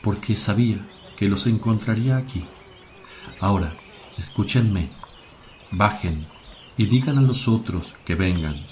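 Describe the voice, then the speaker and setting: An actor playing a calm, solemn spiritual master with gentle authority in a religious radio drama. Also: male voice, lowish voice, unhurried, long pauses, close to an everyday voice. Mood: calm, instructing. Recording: faint noise, birds and crickets behind.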